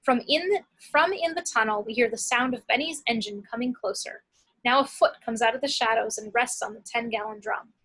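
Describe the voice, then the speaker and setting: A woman's voice: fairly high pitch, a little fast, sharp tone, breathy edge, low-quality recording. A woman narrating action descriptions from a script at an amateur table read.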